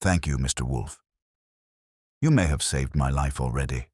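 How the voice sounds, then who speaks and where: A man reads as a desperate widow in a mystery audiobook. She is anxious and earnest, with formal wording, though the voice stays low and the sound is close and dry. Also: normal speed, husky, no echo. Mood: relieved. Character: anxious, desperate widow seeking a detective's help.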